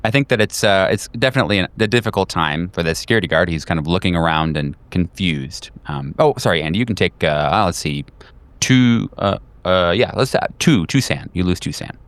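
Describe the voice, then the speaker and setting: A man with a low, clean, resonant voice runs a tabletop game. He improvises scenes casually and haltingly, thinking aloud, close and dry on the microphone. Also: expressive, a bit bright, no echo. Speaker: game master.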